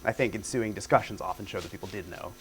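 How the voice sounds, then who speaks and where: A man's voice: lowish voice, fast talker, a little hiss or hum. A middle-aged man giving an academic lecture and answering questions, thinking aloud with fillers, on a lapel mic in a lecture hall. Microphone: lapel mic at a lectern.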